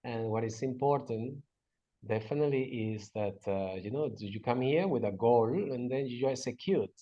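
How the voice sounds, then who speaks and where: A man's voice: mid-range voice, mellow. A man from Venezuela, a native Spanish speaker, answering interview questions in English. He speaks hesitantly, with fillers, restarts and slips in grammar. The recording sounds dull and lo-fi. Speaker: guest.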